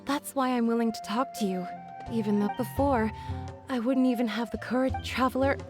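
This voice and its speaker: Woman voicing a shy, soft-spoken young village woman. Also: fairly high pitch, fairly even intonation, brisk, close to an everyday voice. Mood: hesitant. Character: shy young woman of mixed desert heritage. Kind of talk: video game voice acting. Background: game background music.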